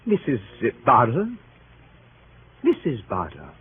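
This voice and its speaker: An actor playing an earnest, fussy older gentleman with a proper, precise manner, in 1930s radio style. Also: mid-range voice, wildly swooping pitch, slow pace, breathy edge, faint music behind, full character voice. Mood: hesitant, bashful. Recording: scratchy phone-like 1930s radio recording.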